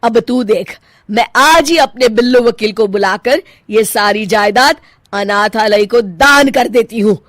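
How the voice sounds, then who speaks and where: A woman's voice: fairly high pitch, a little slow, fairly bright tone, no echo, clean studio sound. A woman voicing a bossy, fearless older mother-in-law in a cartoon moral story, scolding, taunting and commanding. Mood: threatening.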